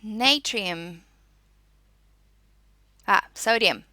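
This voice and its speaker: A woman giving a spoken lesson as a teacher, reading out and explaining in short, deliberate, instructive statements. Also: mid-range voice, lively intonation, sharp tone, no room sound.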